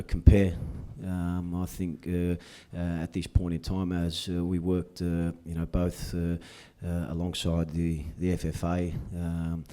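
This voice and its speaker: Deep-voiced, hesitant man. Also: mellow. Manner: hesitant, full of uh and you know fillers. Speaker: club executive. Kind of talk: press conference answers.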